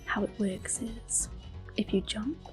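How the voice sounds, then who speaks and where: A woman doing ASMR gameplay commentary in a soft, breathy, gentle voice, mostly whispered. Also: medium pitch, steady tone, music in the background. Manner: gentle, unhurried. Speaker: game streamer.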